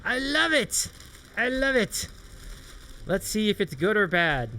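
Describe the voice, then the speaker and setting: A man's voice: sits high, very animated, slow pace, pushed and bright, a little hiss or hum. A man hosting a livestream interview show, chatting casually in an appreciative, encouraging way. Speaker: host of a streaming interview show.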